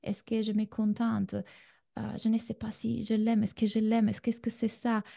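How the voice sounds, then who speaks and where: Italian-accented woman, very soft mellow voice. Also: fairly high pitch, monotone, normal speed, vocal fry. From Italy. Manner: addresses listeners directly with checking questions. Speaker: relationship coach, masterclass host. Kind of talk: conversational online masterclass talk.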